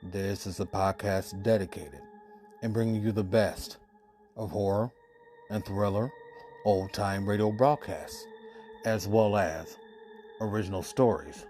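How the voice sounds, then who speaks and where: A man's voice: lowish voice, fairly even intonation, mellow, soft background music. A man hosting a horror radio podcast, introducing episodes in an enthusiastic, emphatic way. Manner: enthusiastic, emphatic, drawn-out words. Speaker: podcast host.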